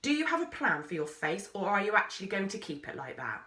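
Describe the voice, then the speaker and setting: A woman's voice: medium pitch, very animated, fast talker, bright, forceful tone, far from the mic. A woman voicing a brusque, wry explorer man with a commanding, matter-of-fact manner in a storybook. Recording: roomy, echoey sound.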